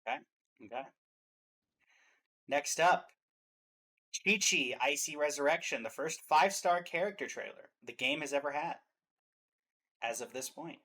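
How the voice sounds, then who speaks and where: A man's voice: fairly high pitch, measured pace, long pauses, pushed and bright, low-quality recording. A man hosting a reaction show, giving casual commentary and rankings. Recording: music behind the voice.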